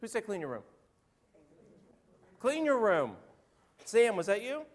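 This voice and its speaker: A man, a rabbi with a slightly hoarse voice, giving a chatty, joking sermon and calling on congregants by name. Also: high-pitched, wildly swooping pitch, a little slow. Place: synagogue sanctuary. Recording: roomy hall reverb.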